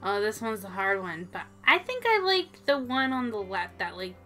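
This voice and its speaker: Bright-voiced woman chatting casually about toys. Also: medium pitch, big pitch swings, moderate pace, soft background music. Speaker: toy show co-host. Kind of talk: casual toy review chat.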